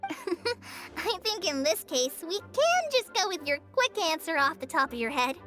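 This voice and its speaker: A woman voicing a shy, gentle young woman in a video game, speaking softly and politely. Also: very high-pitched, big pitch swings, unhurried, heavily acted. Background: game music.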